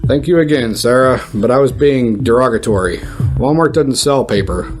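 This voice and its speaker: A man acts out a radio news reporter who is smug and slow on the uptake. His medium-pitched voice talks down to people with total confidence in this mock-news audio comedy, with music behind. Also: lightly acted. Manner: condescending, dim, cocksure.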